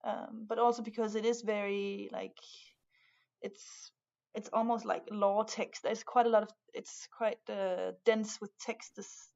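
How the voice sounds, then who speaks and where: A young Danish woman talks in English with a Danish accent, pausing often and restarting her sentences. Her voice has a gravelly fry at the ends of phrases. The recording sounds dull and lo-fi. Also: medium pitch, a little mellow. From Denmark. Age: young adult. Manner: hesitant, many fillers and restarts. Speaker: art student, guest. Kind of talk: casual conversation.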